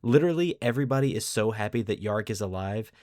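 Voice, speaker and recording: A man's voice: fairly low pitch, expressive, quick pace, a little mellow, no room sound, clean studio sound. A man hosting a podcast, moving between storytelling narration and casual discussion. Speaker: podcast host.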